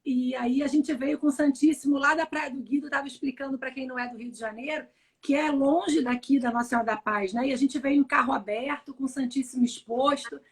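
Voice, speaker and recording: A woman, hoarse and short of voice, speaking gently as a supportive co-host. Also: high voice, fairly even intonation, a little fast. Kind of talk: live religious chat.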